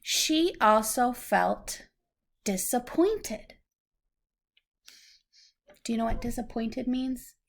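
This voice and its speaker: A woman reads a children's story aloud at a very slow, measured pace. Her voice sits a little high and carries noticeable creak. She phrases things simply and patiently, like someone teaching a small child. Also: expressive. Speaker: adult reading a story aloud to a young child.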